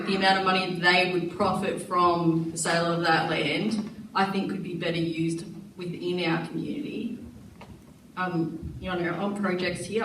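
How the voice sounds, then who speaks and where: A woman's voice: fairly low pitch, fairly bright tone. A woman, a councillor, asking earnest, probing questions at a meeting. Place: council chamber. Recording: echoey chamber, distant mic, faint hiss.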